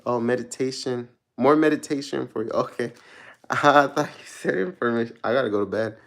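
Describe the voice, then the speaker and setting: Gravelly-voiced man doing a casual tarot reading. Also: medium pitch, moderate pace. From Mexico. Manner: rambling, searching for words, many restarts; relaxed, laughs at himself. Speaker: tarot reader answering viewer questions.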